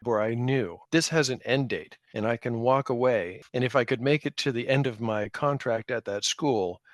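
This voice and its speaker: A man's voice: mid-range voice, normal speed, no room sound. Reflective man in his fifties, dry close sound. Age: 50s. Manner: candid, reflective, self-deprecating. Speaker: interview guest sharing a personal story, former teacher. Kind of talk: conversational personal storytelling.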